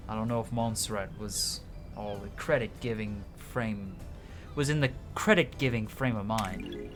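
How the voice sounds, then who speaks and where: A man's voice: fairly low pitch, a little slow. Man reading game dialogue over music. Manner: casual, stumbles and rereads as he goes. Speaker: game streamer. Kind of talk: reading game dialogue aloud with side comments. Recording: music behind the voice.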